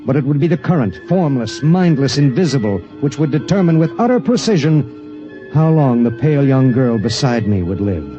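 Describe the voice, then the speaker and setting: An actor playing a weary spaceship pilot in an old radio drama, narrating in a grave, measured way and speaking tersely. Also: male voice, medium pitch, lively intonation, lightly acted. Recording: dull vintage radio broadcast with music.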